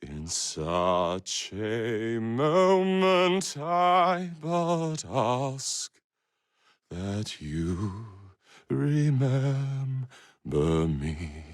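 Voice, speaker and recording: An actor playing a wistful reciter of sorrowful old verse, solemn and measured, with long pauses, in a video game. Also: male voice, medium pitch, sing-song, dry recording, studio quality. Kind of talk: slow dramatic verse recitation.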